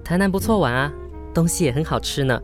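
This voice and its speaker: A woman's voice: low voice, expressive, fast, faint music behind. A woman with a Taiwanese Mandarin accent playing a flustered, self-mocking young woman in an audio drama, hesitant and polite aloud, blunt when muttering to herself. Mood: cheerful. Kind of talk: audio drama acting with spoken inner monologue.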